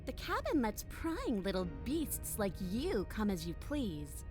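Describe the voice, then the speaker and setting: A woman's voice: on the high side, wildly swooping pitch, a little slow. A woman voicing a bitter, scheming, haughty captive princess in a video game, with scornful theatrical delivery. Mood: scornful, guarded. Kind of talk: dramatic game voice acting. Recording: music behind the voice.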